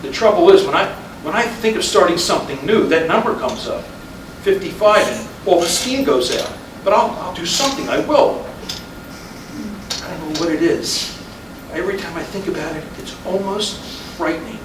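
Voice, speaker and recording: Man acting a highish-voiced, brooding middle-aged husband, distant and echoey. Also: animated. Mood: troubled, reflective. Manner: halting, repeating words, brooding. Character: weary middle-aged husband, fifty-five, regretful and stubborn. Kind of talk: staged reading of a stage play. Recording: echoey room from far off, noisy.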